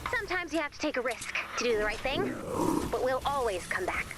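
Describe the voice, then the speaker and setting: A woman voicing an excitable, bossy mission guide in a video game, over loud music and effects. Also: high voice, fairly quick, heavy background noise. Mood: scolding. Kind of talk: video game character acting.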